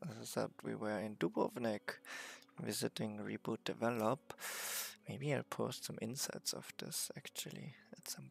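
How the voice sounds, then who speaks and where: A hoarse man chatting casually on a livestream about his game development work from a messy university office, relaxed and self-mocking. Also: medium pitch. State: hoarse, recovering voice.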